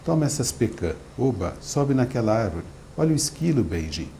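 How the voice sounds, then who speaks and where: A man's voice: medium pitch, very animated, normal speed, gravelly, mellow, a little hiss or hum. A man reading aloud and voicing a young male servant who scolds in a matter-of-fact way. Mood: scolding. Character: young male servant, bossy caretaker of a boy.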